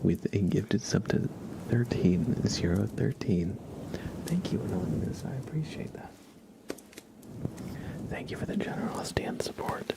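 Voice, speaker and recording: A man hosting an ASMR livestream, talking to viewers in a soft, hushed, gentle and halting way. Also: on the low side, big pitch swings, creaky. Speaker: live streamer, ASMR host.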